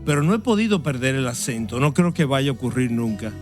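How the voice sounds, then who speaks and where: A man who is a pastor, giving a sermon and answering interview questions in an earnest, measured way. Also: mid-range voice, very animated, faint music behind. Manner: earnest, measured preaching delivery.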